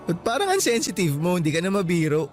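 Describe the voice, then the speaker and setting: A teasing, affectionate young student boyfriend in a radio play with a fairly high voice. He jokes easily but speaks frankly when he gets serious, with soft music in the background. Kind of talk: radio drama acting.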